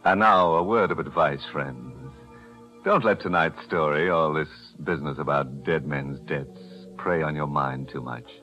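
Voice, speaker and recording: A man's voice: extremely low pitch, unhurried. An actor playing a mocking, macabre radio horror host who chuckles at his own grim jokes, in 1940s radio acting. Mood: sly. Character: mocking macabre male host, ghoulish joker. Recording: dull old radio recording with music.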